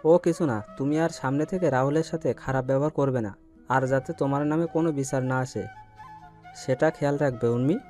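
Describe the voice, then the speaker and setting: A man's voice: mid-range voice, brisk, darkish tone, close to an everyday voice. A man playing a middle-aged, fatherly uncle and wealthy family head in an audio story, earnest and affectionate. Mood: stern. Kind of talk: Bengali audio story acting. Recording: music bed under voice.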